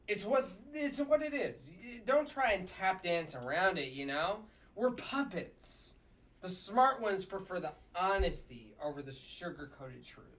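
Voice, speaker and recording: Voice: medium pitch, far from the mic, rough recording. A performer at a comedy table read playing a blunt, wisecracking, cynical puppet district attorney. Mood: irritated.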